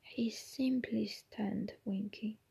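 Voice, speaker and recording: A woman whispering the part of a calm, gentle old male headmaster wizard. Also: medium pitch, vocal fry, breathy edge, heavily acted. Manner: whispered, quiet and measured.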